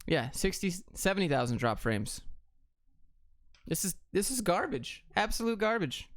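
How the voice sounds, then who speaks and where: A man's voice: high voice, sing-song, moderate pace, dry recording, clean studio sound. An American man hosting a casual livestream, reading out questions and answering them in a blunt, clipped, offhand way. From Atlanta, Georgia, United States. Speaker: livestream host.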